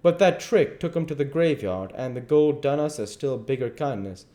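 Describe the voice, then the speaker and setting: A man's voice: medium pitch, expressive, moderate pace, mellow, voice pushed up, lightly acted. A man voicing a domineering, scornful swindler who scolds in folksy rural speech, in a dramatised audiobook. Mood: scolding.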